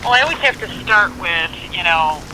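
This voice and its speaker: An American government records officer explaining things informally and haltingly over a phone speaker, in a helpful way. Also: medium pitch, expressive, crowd noise. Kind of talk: casual explanatory phone conversation. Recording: noisy speakerphone call picked up outdoors.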